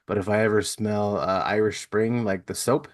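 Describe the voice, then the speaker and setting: A man with a fairly low voice hosts a casual interview podcast. He talks in an informal, chatty way, and the sound is dry and close, with little room in it. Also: fairly even intonation, normal speed, no echo. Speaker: podcast host.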